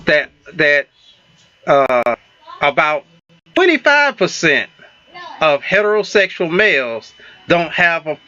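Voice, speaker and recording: A man with a Southern US accent hosting a relationship talk show, halting with many fillers and restarts. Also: fairly high pitch, very animated, bright, forceful tone, no room sound, lo-fi sound. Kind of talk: casual radio talk show chat.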